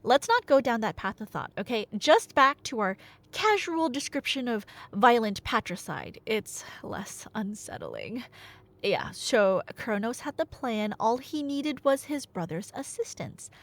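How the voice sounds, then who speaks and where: A woman playing a nerdy girlfriend in an ASMR roleplay, telling Greek myths conversationally with playful asides and dramatic pauses. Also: on the high side, sing-song. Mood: playful rambling.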